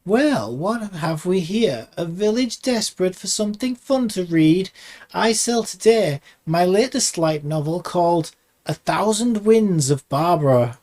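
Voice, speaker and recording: A man voicing a sly, haughty woman publisher with a condescending, drawn-out delivery, in a comic game parody. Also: high-pitched, lively intonation, darkish tone, voice pushed up. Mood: smug.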